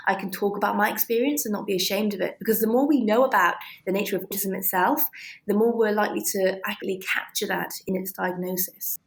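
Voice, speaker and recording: A woman with a medium-pitched voice, talking as a guest in an interview. She is reflective and halting, piecing her thoughts together conversationally as she explains.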